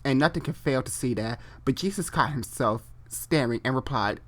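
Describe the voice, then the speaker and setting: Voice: medium pitch, moderate pace, a bit bright. A person doing a comedic dramatic reading of amateur online fiction, theatrical and unfiltered, breaking off into casual asides and re-reading stumbled phrases. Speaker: reader and host.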